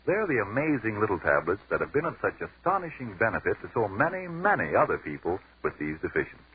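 A man announcing in solemn, measured 1940s radio style. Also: on the low side, huge pitch swings. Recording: tinny 1940s radio broadcast with hiss.

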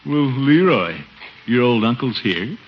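An actor playing a pompous, needy middle-aged uncle in a theatrical 1950s radio comedy style. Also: male voice, mid-range voice, sing-song, unhurried. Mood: eager, coaxing. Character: blustering, needy uncle eager to win back his nephew. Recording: dull, hissy 1950s radio recording.

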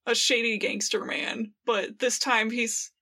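A woman's voice: a little high, level tone, measured pace, pushed and bright. A woman co-hosting a podcast, talking in a playful, informal and emphatic way in a casual fan chat. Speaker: podcast co-host.

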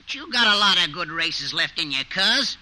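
A man's voice: high voice, big pitch swings, moderate pace, bright, forceful tone, heavily acted. An actor playing a brash, scheming racetrack wise guy with cocky, slangy talk in a 1950s radio comedy. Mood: scheming bravado. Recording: noisy old 1950 radio recording.

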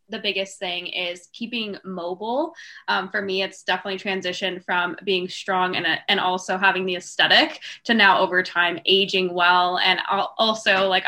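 A woman's voice: medium pitch, bright, forceful tone. A woman in her twenties, a guest in a group podcast chat, speaking in an earnest, enthusiastic way. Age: 20s.

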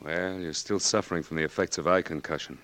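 An actor playing a patient military doctor who steadily reassures a blinded patient, in a 1940s radio drama. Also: male voice, deep voice, steady tone, quick pace, a bit bright, dry recording. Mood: reassuring. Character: calm, patient army eye doctor, firm but kind.

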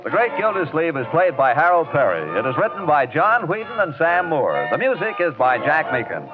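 A man's voice: a little high, big pitch swings, a little fast, over loud music. A man working as a radio announcer, reading scripted 1940s broadcast copy. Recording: thin phone-like 1940s radio recording.

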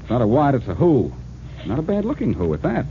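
An actor playing a cocky, breezy, sardonic amateur detective in an old-time radio crime drama. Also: male voice, mid-range voice, huge pitch swings, fast, mellow. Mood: wry, cocky. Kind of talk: 1940s radio acting. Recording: tinny, hissy old radio recording.

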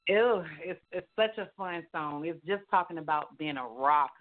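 A woman's voice: low-pitched, expressive, moderate pace. A woman musician as an interview guest, upbeat and chatty, repeating herself and using many fillers. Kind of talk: casual radio interview. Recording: tinny phone line.

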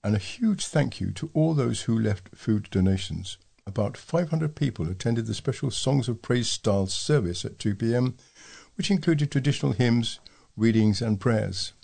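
A man's voice: mid-range voice, animated, normal speed, creaky, mellow, slightly rough. A man reading scripted local news stories as a volunteer newsreader.

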